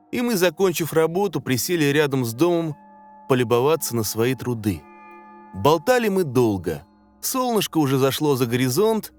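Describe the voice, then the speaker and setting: A man reading a scary story as a dramatic scripted narration, in a measured, suspenseful way. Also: fairly high pitch. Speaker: narrator of horror stories. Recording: music under the voice. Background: background music.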